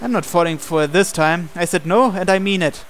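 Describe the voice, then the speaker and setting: Male reader, fairly high voice, performing a visual novel protagonist's lines and inner narration over music. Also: a little fast, a bit bright. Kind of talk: reading visual novel dialogue and narration aloud in character. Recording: music playing under the voice.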